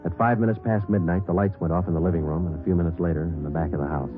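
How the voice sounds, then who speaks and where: A man plays a deep-voiced, businesslike detective sergeant in an old radio crime drama. He fires off facts quickly in a clipped deadpan. The muffled vintage recording has music with it. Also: lightly acted. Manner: deadpan, clipped, matter-of-fact. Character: terse, businesslike male police detective sergeant narrating cases.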